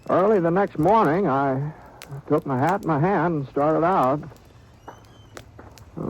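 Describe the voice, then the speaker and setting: An actor playing a reluctant, easygoing western cowhand in an old radio drama, speaking in a halting, folksy, understated way. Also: male voice, mid-range voice, creaky, slightly rough. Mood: rueful, folksy. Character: reluctant, easygoing western cowhand recounting his small-town troubles. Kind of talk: 1950s radio western acting and first-person narration. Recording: noisy vintage radio broadcast recording.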